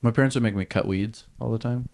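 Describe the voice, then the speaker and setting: A man's voice: mid-range voice, quick pace, creaky, a little mellow. A young man co-hosting a podcast, chatting loosely and joking with plenty of swearing. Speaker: podcast co-host. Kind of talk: casual chat.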